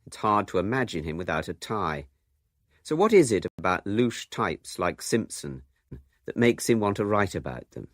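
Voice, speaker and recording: A man's voice: lowish voice, big pitch swings, moderate pace, dry recording. A man presenting a radio documentary in scripted, literary narration with dry humour.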